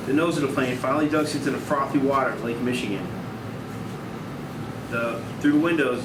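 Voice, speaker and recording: Man narrating a script, echoey noisy room. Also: medium pitch. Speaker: narrator reading stage directions. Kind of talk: scripted narration at a screenplay table read. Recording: echoey room, distant mic, loud noise.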